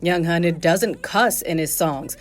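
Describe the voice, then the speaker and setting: A woman's voice: lowish voice, slow. A woman narrating a news feature in a scripted reporter style. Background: faint music.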